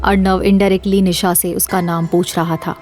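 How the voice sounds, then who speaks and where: A female narrator with a slightly low voice reads a scripted drama. Her delivery is that of a storyteller, with faint music underneath. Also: level tone, unhurried, a little mellow.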